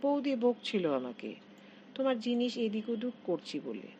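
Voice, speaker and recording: A woman's voice: a little high, very animated, moderate pace, warm tone, dull audio, voice pushed up. A coy housemaid from a short story, read out by a woman, gushing and affected as she tries to please. The intonation moves up and down freely over faint background noise. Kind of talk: storybook character reading.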